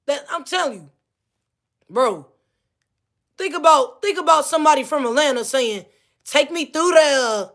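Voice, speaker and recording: Woman w missouri accent going off, high voice, swears a lot and keeps repeating herself. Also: expressive, measured pace, vocal fry, long pauses, fairly bright tone. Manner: heated, profane, repeats herself. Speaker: vlogger. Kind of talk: casual rant to camera.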